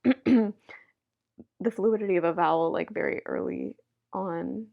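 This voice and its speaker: A woman's voice: lowish voice, unhurried, vocal fry, muffled recording. A woman hosting a casual chat, hesitant and chatty with many fillers. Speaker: host.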